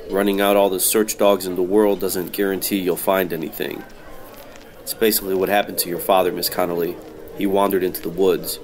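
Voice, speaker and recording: An actor playing a world-weary, hard-boiled detective in an old-time radio noir drama, deadpan and measured. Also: male voice, low-pitched, slight background noise, lightly acted.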